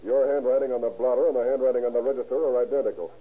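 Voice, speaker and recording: An American man playing a brisk, hard-nosed police captain in a 1930s radio crime drama. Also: mid-range voice, husky, close to an everyday voice. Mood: brisk, accusing. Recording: muffled, tinny old radio recording.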